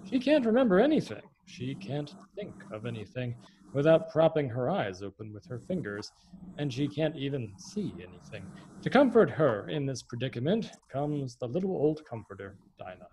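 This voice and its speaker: Mellow, animated male radio play narrator. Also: mid-range voice, moderate pace, slight background noise, poor audio. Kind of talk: theatrical scripted narration.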